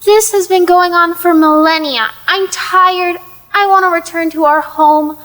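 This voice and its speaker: A woman's voice: very high-pitched, fairly even intonation, a little slow, fairly bright tone. A woman playing a fretful, world-weary ancient female spirit with a fussing, endearing manner in an audio play. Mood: fretful, despairing. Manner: fussing, calls others dear.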